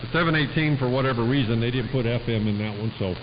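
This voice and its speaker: A man's voice: medium pitch, fast. A man chatting casually on a two-way radio in a folksy, rambling way full of radio lingo. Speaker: amateur radio operator. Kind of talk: casual radio chat between operators. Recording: muffled radio transmission with clear static noise.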